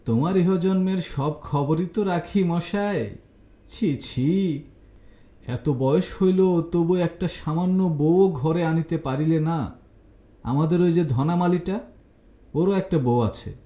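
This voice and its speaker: A man playing a high-pitched, teasing young woman. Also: warm tone, reverberant. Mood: mock scolding. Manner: bantering, mock-scolding, rapid-fire reproaches. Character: teasing, cheerful, scolding young Bengali woman cousin.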